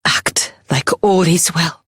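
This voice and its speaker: A grave, guarded villager from a video game. Her voice is very breathy and husky, delivered very slowly in a dry recording. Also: fairly low pitch, fairly bright tone, dry recording, full character voice. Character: grave, worried woman villager, cautious and bitter. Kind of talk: dramatic video game voice acting.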